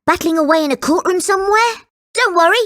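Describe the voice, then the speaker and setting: A woman voicing a polite, earnest and excitable young boy in a video game. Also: extremely high pitch, fast talker. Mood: curious, polite.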